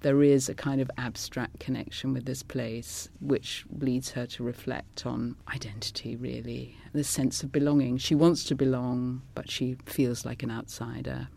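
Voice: mid-range voice, flat delivery, moderate pace, gravelly, hardly any pauses, darkish tone. A middle-aged author with a polished English accent and Scots as a first language, answering interview questions thoughtfully with small laughs. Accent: English, trained by elocution lessons. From England. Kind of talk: reflective conversational interview answers.

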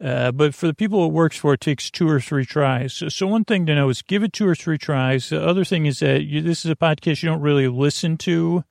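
A man hosting a bedtime podcast in a creaky voice, meandering through tangents and interrupting himself in a lulling, soothing manner. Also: on the high side, brisk, few pauses, darkish tone, no echo, studio quality. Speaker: podcast host. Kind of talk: rambling bedtime podcast monologue.

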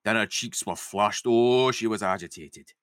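Man putting on a rough-edged, frightened Scottish maid. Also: fairly low pitch, moderate pace, a bit bright, no echo, close to an everyday voice. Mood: agitated, remorseful. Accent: Scottish, drifting. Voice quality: rough, edgy.

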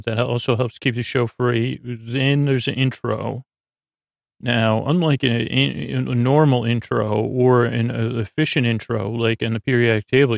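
A man with a gravelly, fry-heavy voice hosts a sleep podcast in a close, dry recording. His pitch stays quite flat as he meanders through his monologue. He halts, stammers and repeats fragments of words as he goes. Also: no echo. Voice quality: creaky, gravelly. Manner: meandering, halting, stammering, repeats and trails off mid-word. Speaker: podcast host.

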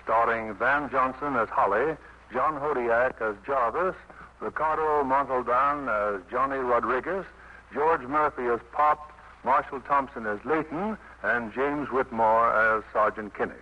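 An American man announcing a 1950s radio theater program in a formal, measured way. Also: mid-range voice. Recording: muffled old radio broadcast.

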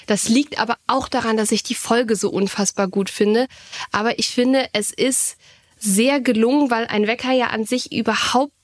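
A woman's voice: fairly high pitch, fairly even intonation, normal speed. A woman co-hosting a casual fan podcast, explaining her thoughts articulately. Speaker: podcast co-host.

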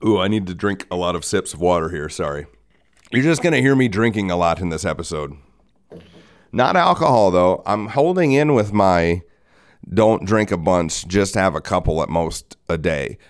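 A man in his forties hosting a solo podcast, hoarse from a head cold, rambling and cursing with lots of restarts. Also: deep voice, lively intonation, moderate pace. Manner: rambling, profane, self-mocking, frequent restarts. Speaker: podcast host. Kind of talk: solo casual podcast talk.

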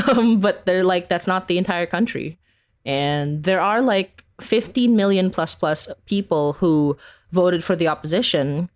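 A woman with a fairly low voice talks as a guest in a casual interview. Her way of speaking is informal and giggly, with "like" scattered through her sentences. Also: lively intonation, unhurried. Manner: informal, giggly, peppers talk with "like". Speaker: interview guest, podcast creator.

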